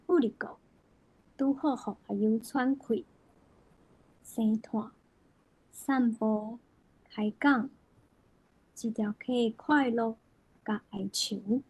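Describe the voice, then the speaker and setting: A woman with a Taiwanese accent recites literature expressively. She takes it very slowly, in a fairly high voice with a mellow, husky grain. The sound is close and dry. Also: dry recording, rough recording. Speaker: poetry reader.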